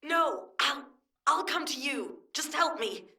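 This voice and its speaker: A woman's voice: on the low side, measured pace, background noise, close to an everyday voice. A woman acting a driven, stubborn female soldier in a video game, with a terse, brooding delivery as if thinking aloud. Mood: alarmed, strained.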